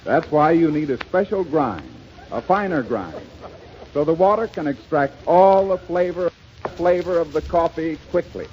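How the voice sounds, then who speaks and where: An American man working as a radio announcer, delivering a formal, emphatic 1930s sponsor pitch. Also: lively intonation, moderate pace. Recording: lo-fi 1930s radio, faint noise.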